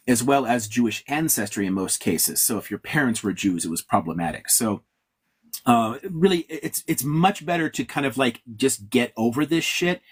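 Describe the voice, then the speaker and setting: Husky guy on a video call, blunt and sweary, lecturing about history. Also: mid-range voice. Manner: blunt and profane, lecturing with emphatic asides. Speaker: guest historian. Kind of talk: conversational interview answer.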